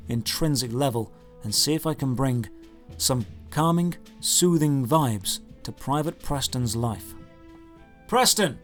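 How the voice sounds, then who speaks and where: A man's voice: mid-range voice, fairly bright tone. A man narrating a scripted, humorous video game review with a dry, sarcastic delivery. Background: game music under the voice.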